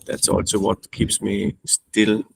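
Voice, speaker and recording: A man's voice: fairly low pitch, completely flat delivery, takes it slowly, gravelly, slight background noise, rough recording. A man, speaking as a guest in a casual interview, hesitant with frequent restarts. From Austria. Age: 30s. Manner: hesitant, restarts often, non-native phrasing. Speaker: guest trading student.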